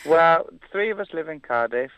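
A man's voice: on the high side, animated, moderate pace, a bit bright, smooth, no echo, poor audio. A man from Wales, a musician, chatting casually in an interview, hesitant with many fillers and restarts. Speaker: musician guest.

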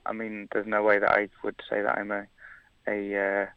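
A man from Wales talks about his music in a relaxed, halting way, full of fillers and restarts. His voice is smooth and resonant, though it comes through a narrow, tinny phone-like line. The sound is dry, with no room echo. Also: fairly low pitch. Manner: hesitant, many fillers and restarts. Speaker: musician guest. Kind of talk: casual interview chat.

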